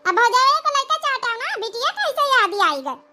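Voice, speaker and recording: A woman's voice: very high voice, sing-song, moderate pace, sharp tone, soft background music, heavily acted. A woman voicing young village women of a family comedy cartoon, with an Awadhi accent and an exaggerated, chatty manner. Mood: sulky.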